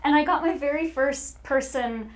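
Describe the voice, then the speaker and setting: A woman's voice: high-pitched, moderate pace. A woman who works as a voice actor, talking earnestly in a casual panel chat.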